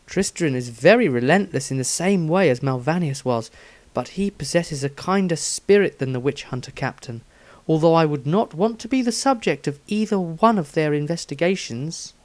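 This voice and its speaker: A man's voice: fairly high pitch, lively intonation, moderate pace, no room sound, voice pushed up, lightly acted. Man voicing an earnest, worried young woman healer. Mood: worried, uneasy. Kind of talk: dramatised fantasy audiobook diary.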